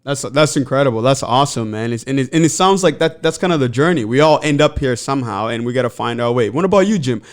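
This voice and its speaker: A man's voice: medium pitch, expressive, fast talker, hardly any pauses. A man in his thirties from the Congo, hosting a podcast and asking questions in a friendly, enthusiastic way. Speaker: podcast host. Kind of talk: casual interview chat.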